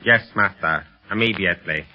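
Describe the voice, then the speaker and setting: A grim, servile henchman in a 1930s radio serial, low in pitch. He speaks in formal, clipped phrases on a muffled vintage recording with music. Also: normal speed. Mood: obedient, grim. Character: obedient, grim henchman of a villain.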